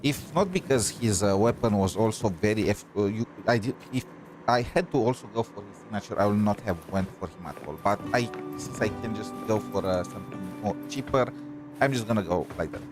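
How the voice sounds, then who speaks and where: A man's voice: on the low side, brisk. A man streaming a game in casual chat, thinking aloud in a rambling way and repeating himself. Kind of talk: casual livestream chat while playing. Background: music behind the voice.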